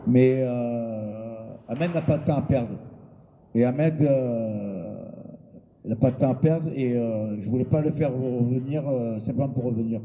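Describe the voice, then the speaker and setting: Male fight promoter, very dark voice, blunt, hesitant, reverberant hall. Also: mid-range voice. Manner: hesitant, with frequent filler pauses; blunt and informal, with crude words. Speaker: boxing event promoter. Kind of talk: press conference speech. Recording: long hall reverb with background noise.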